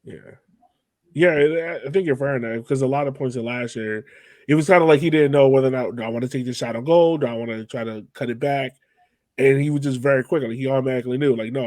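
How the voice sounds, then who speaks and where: A man with a medium-pitched voice hosts a casual sports chat. The sound is dry and close to room-free, and he talks informally as he guides the conversation. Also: fast, a little mellow, no echo. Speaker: soccer podcast host.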